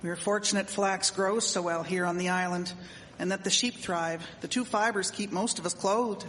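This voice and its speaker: A woman's voice: mid-range voice, fairly even intonation, normal speed, fairly bright tone, lightly acted. A woman playing a prim, exclamatory townswoman of the 1870s in a scripted period radio drama. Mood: matter-of-fact. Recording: echoey hall, faint background noise.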